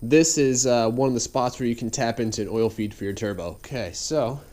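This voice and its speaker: A man's voice: mid-range voice, fairly quick, darkish tone. Congested man narrating a car build. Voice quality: nasal, congested. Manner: laid-back, rambling, filler-heavy. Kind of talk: casual how-to narration while working. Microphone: head-mounted action camera.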